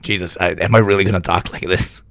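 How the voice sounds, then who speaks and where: A sick, hoarse man streaming live news commentary, talking bluntly and with plenty of swearing. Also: sits low, fairly even intonation, quick pace, a bit bright, dry recording. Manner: profane, blunt, rambling. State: hoarse from illness.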